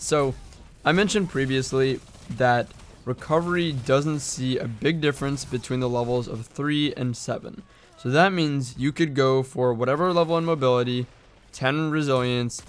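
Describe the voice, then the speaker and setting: A man with a hoarse, cracking voice, casually explaining game stats in a conversational way that thinks aloud and hedges often. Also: medium pitch. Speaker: gaming video creator.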